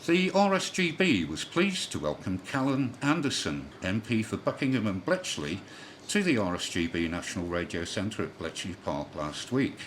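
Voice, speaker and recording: Man, lowish voice, lively intonation, scripted bulletin delivery, newsreader, faint noise behind. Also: moderate pace. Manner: spells out callsigns in phonetic alphabet, occasional slips. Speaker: amateur radio newsreader. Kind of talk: scripted news bulletin reading. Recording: clearly noisy shortwave radio broadcast.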